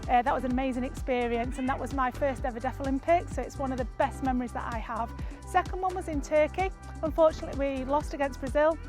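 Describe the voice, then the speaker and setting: A woman in her thirties, captain of her football team, talks proudly and warmly. Her voice is high. Music plays behind her. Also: quick pace. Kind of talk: interview.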